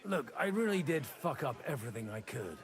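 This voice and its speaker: A man's voice: mid-range voice, very animated, fairly quick. An actor playing a blunt, disgraced brawling priest, a nobleman's elder son, in dramatic medieval game dialogue. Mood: earnest. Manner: blunt, plain-spoken.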